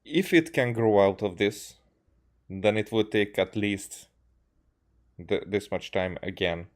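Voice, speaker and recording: Low-voiced man, halting game commentary. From Hungary. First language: Hungarian. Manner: halting, stammering, earnest. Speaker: game streamer. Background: game music.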